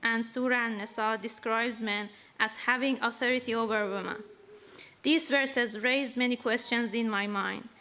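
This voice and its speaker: A woman not speaking her first language gives a slow, earnest testimony at church, reading carefully from prepared notes. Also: on the high side, steady tone, pushed and bright. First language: not English. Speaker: church member giving a testimony.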